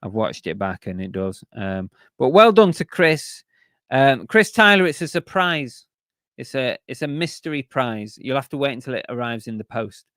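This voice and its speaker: A man's voice: mid-range voice, sing-song, normal speed, a bit bright, dry recording, clean studio sound. Chatty man, voice going. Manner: chatty, rambling, with many fillers and restarts. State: losing his voice. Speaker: live stream host. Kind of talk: casual live stream chat.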